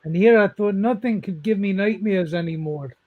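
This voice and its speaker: Old cartoon duck tycoon, played by a man, high voice, stern and defiant, muffled lo-fi recording. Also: moderate pace. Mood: wary. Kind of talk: live comic dub acting. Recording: dull lo-fi audio.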